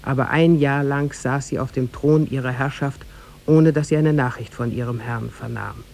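A man narrating a tale in a 1950s German radio play, formal and measured. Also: mid-range voice, fairly even intonation, creaky, a little mellow, lightly acted. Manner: formal, measured storybook delivery.

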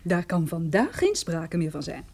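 Radio-play casting: stern young lawyer, mellow tone, precise, brisk and businesslike. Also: female voice, fairly low pitch, big pitch swings. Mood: cool, official. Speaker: supporting actress. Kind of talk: radio detective drama acting.

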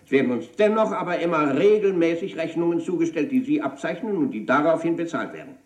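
A man's voice: a little high, animated, fast talker, lightly acted. Stern, echoey male boss played by an actor. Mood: accusing. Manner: cutting, domineering, commanding. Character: stern, angry male company boss confronting an embezzler. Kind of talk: German radio crime play acting.

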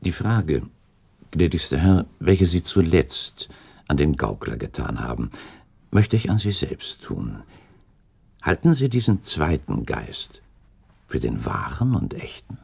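A man playing an earnest, puzzled gentleman companion to a prince in a German radio play, speaking formally and respectfully. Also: very deep voice, big pitch swings, slow pace, creaky, husky, heavily acted. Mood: respectful, probing.

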